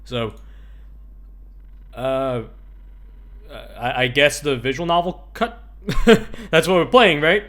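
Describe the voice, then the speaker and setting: A man's voice: fairly high pitch, slow, pauses often, fairly bright tone. A man giving casual, offhand let's play commentary on a video game, swearing now and then. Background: game music.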